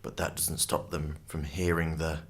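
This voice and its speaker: A man's voice: a bass voice, level tone, moderate pace. A man playing the storyteller of a grim fantasy radio drama, reading scripted narration. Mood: narrating.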